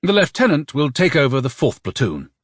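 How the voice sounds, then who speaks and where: A man's voice: a little high, very animated, brisk, no echo, studio quality, voice pushed up. A man voicing a suave, insincere army colonel in an audiobook, with honeyed, emphatic politeness. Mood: brisk command.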